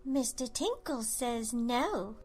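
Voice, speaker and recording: Animated little girl, voiced by a woman: high voice, lively intonation, innocent, matter-of-fact and unfazed. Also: takes it slowly, breathy edge, soft background music, exaggerated voice. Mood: innocently frank. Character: pale, calm, frank little girl with bunny.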